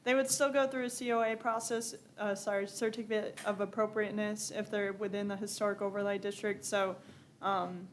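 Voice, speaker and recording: A woman on the planning staff explains zoning procedure in a plain, agreeable manner. Her voice stays flat and even, and there is faint noise behind her. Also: medium pitch, brisk. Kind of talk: public meeting remarks. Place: public meeting room.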